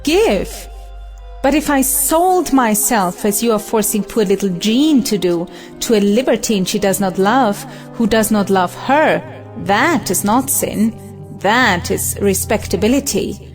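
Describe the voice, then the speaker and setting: A woman's voice: medium pitch, animated, a little slow, soft background music, lightly acted. An actress playing a defiant, idealistic young woman in love, in an earnest audio play. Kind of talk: audio play acting of an old stage drama.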